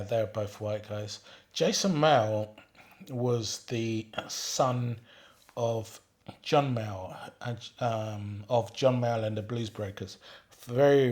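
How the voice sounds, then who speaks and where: A man, reminiscing about his childhood in an unhurried way, often pausing with ums and restarting his sentences. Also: lowish voice, fairly even intonation, darkish tone. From England. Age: 60s. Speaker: retired father telling his life story. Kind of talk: reminiscing monologue reading out questions.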